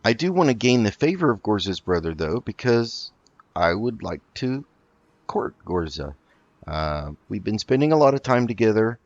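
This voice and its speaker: Deep-voiced man casually roleplaying through a game. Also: no room sound. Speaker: game roleplay video host. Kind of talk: casual in-character gameplay commentary. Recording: dull lo-fi mic with background noise.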